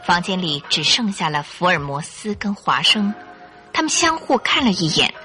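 A woman's voice: on the low side, big pitch swings, normal speed, pushed and bright, soft background music. A woman narrating a Mandarin radio drama as a dramatic storyteller.

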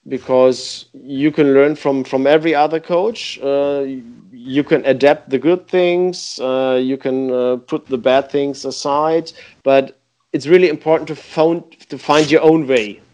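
Slow, halting man. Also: mid-range voice, darkish tone. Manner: halting, many pauses and fillers. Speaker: youth football coach. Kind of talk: interview answers.

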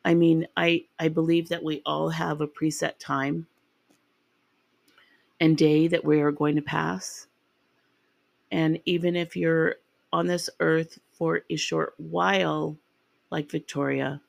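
Woman, podcast host, raspy with a sinus infection, gravelly, chatty, dry room. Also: deep voice, dry recording. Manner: rambling and chatty, with ums and restarts. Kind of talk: casual true-crime podcast narration.

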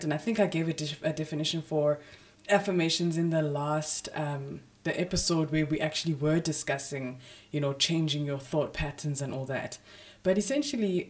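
A woman hosting her own podcast, talking casually with a slightly raspy voice from a sore throat, filling her speech with "you know" and "um". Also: deep voice, darkish tone. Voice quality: husky, raspy. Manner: chatty, frequent "you know" fillers. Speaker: podcast host. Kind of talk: casual solo podcast talk.

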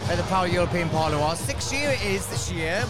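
Fast-talking british guy, high voice, teasing chat, loud music and crowd behind. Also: lively intonation. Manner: chatty, teasing. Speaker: podcast host. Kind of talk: red carpet interview. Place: loud awards venue.

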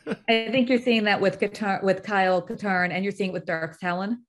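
A woman's voice: medium pitch, fairly even intonation, fairly quick. A woman joining a casual gaming discussion as a podcast guest.

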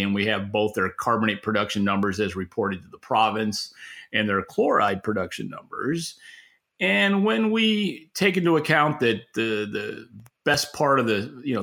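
A man's voice: mid-range voice, a bit bright. A man from Western New York with an American accent, co-hosting a podcast in plainspoken, casual analysis. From Western New York, United States. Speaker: podcast co-host.

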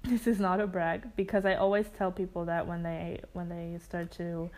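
A woman's voice: lowish voice, little pitch movement, normal speed, darkish tone. A young woman from Germany chatting casually with a friend, laughing easily and restarting her sentences. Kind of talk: casual chat between friends.

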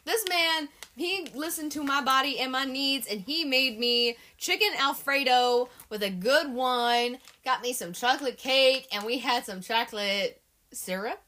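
A woman co-hosting a podcast with a bright, forward tone and a higher pitch. She is playful and keeps the conversation moving from topic to topic. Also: measured pace. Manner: playful, steers the talk. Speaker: podcast co-host. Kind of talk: casual chat.

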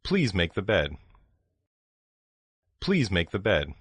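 A man reading short phrases for language learners, saying each one twice in a slow, deliberate way. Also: deep voice, wildly swooping pitch. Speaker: language lesson speaker.

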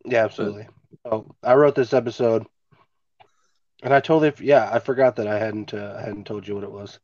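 A man's voice: fairly low pitch, steady tone, brisk, darkish tone, no room sound, highs cut off. A man hosting a casual podcast from home with a stuffy nose, laid-back and swearing casually. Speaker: podcast host. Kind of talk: casual chat. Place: home, recording remotely. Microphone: remote home mic.

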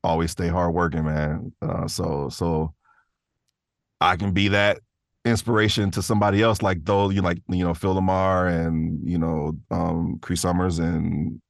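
A man's voice: low voice, flat delivery, unhurried, gravelly, no echo, studio quality. A man talking casually and thoughtfully as a guest in an interview about his work, often restarting his phrases. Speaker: voice actor, podcast guest. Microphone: close studio microphone in a vocal booth.

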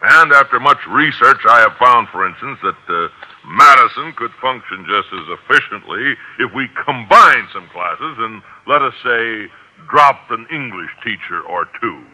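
Bright, self-important American businessman. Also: mid-range voice, lively intonation, moderate pace, low-quality recording. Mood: self-satisfied. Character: self-important, breezy businessman turned overgrown high-school student. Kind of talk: 1950s radio comedy acting. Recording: old radio recording.

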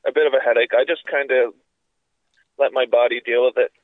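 A man's voice: medium pitch, fairly even intonation, fairly quick, no echo. Congested man on a tinny line. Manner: rambling, profane, full of fillers. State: congested with a cough and sore throat. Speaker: podcast co-host. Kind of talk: casual chat.

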